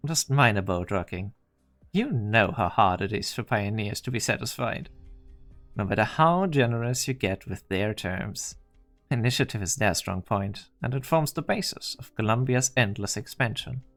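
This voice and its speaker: A man voicing a smooth corporate male executive in an amateur fan dub, polite and reassuring. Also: fairly low pitch, lively intonation, normal speed, a bit bright, faint music behind.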